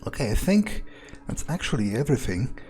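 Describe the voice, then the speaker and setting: A man playing a sardonic, pragmatic vampire, muttering his thoughts aloud in a hesitant, deadpan way as in-character game commentary. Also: medium pitch, brisk, close to an everyday voice. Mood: musing, muttering. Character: sardonic, pragmatic male vampire sneaking around. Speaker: game player roleplaying a character.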